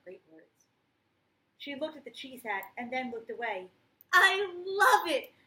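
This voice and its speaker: Bright-voiced woman reading a storybook aloud. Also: a little high, moderate pace. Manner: expressive, explains words and story to young listeners. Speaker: teacher reading a picture book aloud. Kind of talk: storybook read-aloud with chatty asides to children. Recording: roomy echo, faint background noise.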